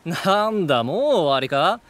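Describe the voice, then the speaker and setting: Cocky teen brawler in a school drama: rough sneer, fairly high pitch, very animated. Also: male voice, unhurried, slight background noise, full character voice. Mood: taunting. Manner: rough, sneering, brash slangy talk. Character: cocky, hot-tempered teenage male delinquent brawler. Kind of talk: Japanese voice drama acting.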